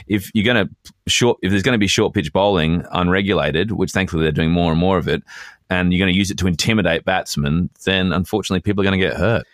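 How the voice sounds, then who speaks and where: An Australian man speaks in a low voice with a dry, close sound. He co-hosts a casual sports chat, telling things in a measured, wandering way. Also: no echo. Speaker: podcast co-host.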